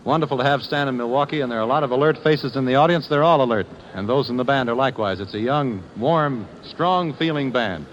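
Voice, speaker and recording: American man, 1950s radio announcer, polished formal delivery, gentle humour, muffled lo-fi sound, faint noise. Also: mid-range voice, quick pace, few pauses. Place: ballroom broadcast. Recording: dull, noisy vintage radio recording.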